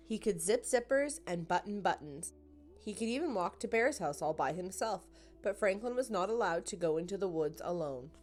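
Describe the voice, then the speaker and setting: A woman's voice: on the low side, animated, normal speed, darkish tone, faint music behind. A woman narrating a children's picture book as a scripted storytime read-aloud.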